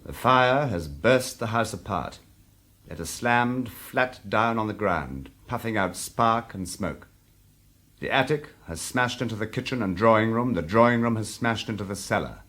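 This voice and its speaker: A man narrating an audio drama in a theatrical, expressive way, telling the story vividly in the present tense. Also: fairly low pitch, measured pace. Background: loud music.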